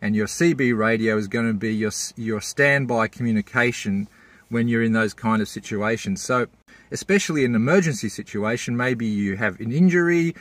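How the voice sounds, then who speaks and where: A man's voice: mid-range voice, moderate pace, a bit bright, no echo. A man, giving a casual, plain-spoken explainer as a vlogger. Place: inside a parked four-wheel drive and outdoors at a bush campsite.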